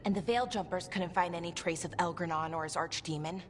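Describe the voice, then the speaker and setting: Woman acting serious adventurer in a fantasy game, voice a bit low, faint ambience. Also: a little fast, slight background noise, close to an everyday voice.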